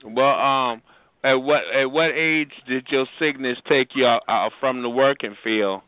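A man's voice: mid-range voice, measured pace, clear, resonant tone, no echo. Guy hosting a chat, lots of follow-up questions, dry tinny sound. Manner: interviewer who asks follow-up questions and backchannels. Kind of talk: casual chat.

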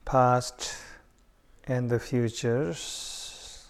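A man giving unhurried guided meditation instructions with long pauses. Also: medium pitch, steady tone, clear, resonant tone.

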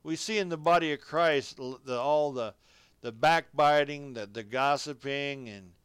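Slow-paced man preaching, very clear voice. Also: mid-range voice, fairly bright tone, no room sound, clean studio sound. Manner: exhorting, addressing listeners directly as folks; folksy, colloquial phrasing. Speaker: radio preacher. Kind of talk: radio sermon with scripture reading.

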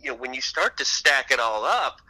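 A man with a very bright, sharp voice at a middle pitch. He talks as an author being interviewed, telling stories conversationally. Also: animated, moderate pace, poor audio. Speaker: interview guest, author and TV reporter. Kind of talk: conversational storytelling.

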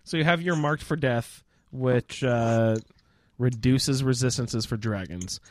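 A man's voice: medium pitch, slow pace, a little mellow. A man in a casual gaming podcast discussion, talking in an inquisitive, conversational way. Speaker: podcast roundtable participant.

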